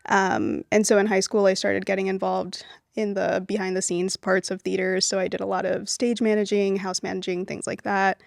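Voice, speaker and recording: A young woman from Venezuela, raised in Miami, talks easily about her film work, her sentences full of loose fillers. Her voice sits at a middle pitch with a noticeable gravelly fry. She is recorded close and dry. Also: normal speed, dry recording. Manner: relaxed, with frequent "um" and "like" fillers. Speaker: guest, film producer. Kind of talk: casual interview conversation.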